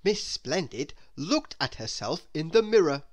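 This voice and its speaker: A woman's voice: deep voice, very animated, unhurried, a little mellow. A woman reading a children's storybook aloud, expressively and as if speaking straight to young listeners.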